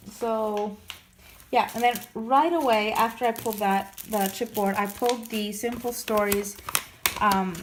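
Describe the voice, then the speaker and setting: Chatty woman, slightly hoarse voice. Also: a little high, slight background noise. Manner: rambling, many restarts and fillers. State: recovering from lost voice, slightly hoarse. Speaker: scrapbooking vlogger. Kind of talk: casual show-and-tell chat.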